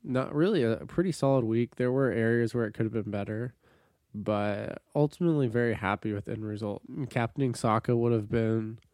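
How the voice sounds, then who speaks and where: A man co-hosting a casual sports podcast, sounding nasal from a cold, chatting in a relaxed way with many fillers. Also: medium pitch, fairly quick, dry recording, clean studio sound. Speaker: podcast co-host.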